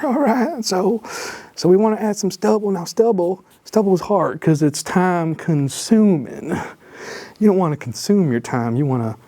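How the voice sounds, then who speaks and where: A man leading a drawing tutorial in a jokey, rambling way, full of gentle encouragement and little asides. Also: on the high side, no room sound. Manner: drops to whispers while concentrating on drawing. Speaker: drawing instructor.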